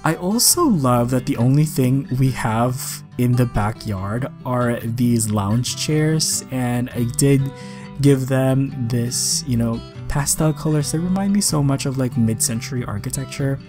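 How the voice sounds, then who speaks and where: Casting: young male gaming creator, slight rasp, chatty and upbeat casual voice-over, clear guitar music bed underneath. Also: mid-range voice, unhurried. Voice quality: slightly raspy. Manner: chatty, enthusiastic. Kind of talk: casual voice-over commentary on a game speed build.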